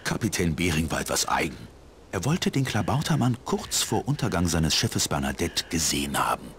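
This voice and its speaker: An older man voicing a kindly elderly village priest in a cartoon game, telling tales in a measured way. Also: sits low, very animated, a bit bright, a little hiss or hum, full character voice. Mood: gently disapproving. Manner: measured, storytelling. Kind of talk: scripted cartoon game dialogue.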